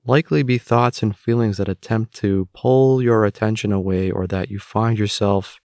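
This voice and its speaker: A man guiding a meditation in a calm, unhurried, instructional manner, leaving long pauses between phrases. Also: fairly low pitch, creaky, no room sound, studio quality. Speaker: meditation guide. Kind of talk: guided meditation narration.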